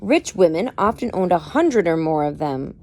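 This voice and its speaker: A woman's voice: lowish voice, big pitch swings, normal speed, darkish tone. A woman reading a book aloud expressively, with dramatic emphasis and informal explanatory asides. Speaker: parent reading aloud to children.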